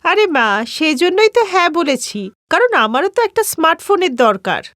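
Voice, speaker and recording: A woman's voice: very high-pitched, sing-song, normal speed, a bit bright, dry recording, clean studio sound, exaggerated voice. A woman voicing a confident, cheeky young daughter-in-law in a cartoon story, chatty and cajoling.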